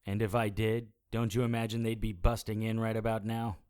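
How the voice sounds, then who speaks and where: A man's voice: on the low side, little pitch movement, brisk, a little mellow, smooth, natural voice. A man playing a wry, weary supernatural private investigator in an audio drama, with a dry, sardonic manner. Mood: reasoning, wary. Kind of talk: audio drama acting with first-person noir narration.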